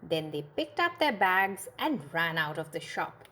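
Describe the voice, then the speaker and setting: Slow, animated female storyteller. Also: on the low side. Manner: expressive, deliberate phrasing for children. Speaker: children's storyteller. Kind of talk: scripted storybook narration.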